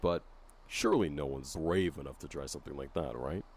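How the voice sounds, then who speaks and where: Low-pitched man narrating a game explainer. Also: brisk, darkish tone, slight background noise. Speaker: narrator of a game update video. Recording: faint music under narration. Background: faint music.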